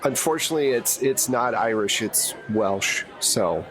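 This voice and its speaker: A man's voice: medium pitch, measured pace, fairly bright tone, poor audio. Man casually narrating a tabletop game. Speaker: game master.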